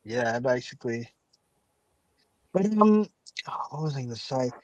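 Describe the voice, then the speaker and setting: A man with a mid-pitched voice in a dry recording talks casually as a guest. He is opinionated and rambles, circling back to qualify his points. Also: lively intonation, a little slow, no room sound. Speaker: podcast guest. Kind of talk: casual chat.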